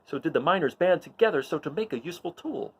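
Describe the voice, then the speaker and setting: A man's voice: on the high side, expressive, fast, fairly bright tone, breathy edge, pitched above the performer's own voice. A man voicing an earnest, fervent young rebel hero in a declamatory Shakespearean storybook reading. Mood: troubled, scheming.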